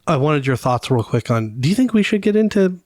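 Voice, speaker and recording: A man's voice: fairly high pitch, big pitch swings, fairly quick, darkish tone, no echo, studio quality. A middle-aged man co-hosting a podcast with informal, slangy, conversational talk. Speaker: podcast co-host. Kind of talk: casual podcast chat.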